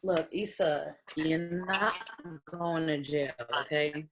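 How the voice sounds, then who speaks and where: A woman's voice: low-pitched, fairly even intonation, takes it slowly, background noise. A woman playing a blunt, level-headed, easily exasperated lawyer friend in a comedy table read. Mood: reassuring, matter-of-fact. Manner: blunt, matter-of-fact.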